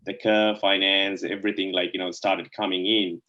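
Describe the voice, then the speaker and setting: A low-pitched man from Sri Lanka speaks as an interview guest, circling his points with "like, you know" and tagging them with "right?". The sound is a little muffled and lo-fi. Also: level tone, moderate pace, sharp tone. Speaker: guest, DeFi co-founder. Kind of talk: casual interview answers.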